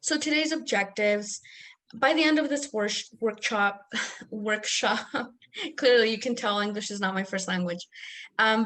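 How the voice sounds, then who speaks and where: A young woman from Mexico, a native Spanish speaker, giving an online workshop as an academic coach in a friendly, slightly halting way. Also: medium pitch, a bit bright. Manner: friendly, lightly halting with fillers.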